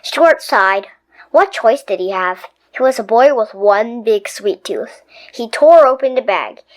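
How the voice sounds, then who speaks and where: A woman reading a children's picture book aloud in an expressive, playful storytelling manner. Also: on the high side, measured pace, rough recording. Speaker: storybook reader.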